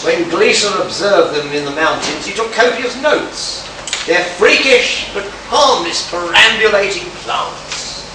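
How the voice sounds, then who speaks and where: A stern, exasperated pulp space hero, played by a man in a live radio play. The high voice scolds and lectures with melodramatic emphasis, distant from the mic in an echoing hall. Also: lively intonation, unhurried. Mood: lecturing. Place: convention hall stage. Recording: echoey hall, distant mic, background noise.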